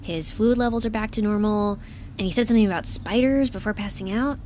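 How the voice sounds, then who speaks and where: An actress as a young woman looking after an injured crewmate in a sci-fi audio drama. Her voice sits at a medium pitch, earnest and fussing, with exasperated care and dry humor. There is faint noise in the background. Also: fairly quick, a little mellow, lightly acted. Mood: reassuring.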